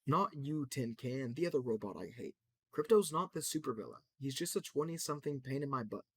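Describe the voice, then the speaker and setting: A man voicing a brash, joking male trickster in a loud, high-pitched voice. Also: lively intonation, a little fast, slightly rough, no room sound. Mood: mocking.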